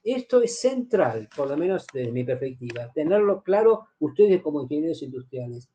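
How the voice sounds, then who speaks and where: Lively male lecturer. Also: fairly high pitch, normal speed, background noise. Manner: explanatory, repeats key phrases, asks students rhetorical questions. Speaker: university lecturer. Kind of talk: university lecture.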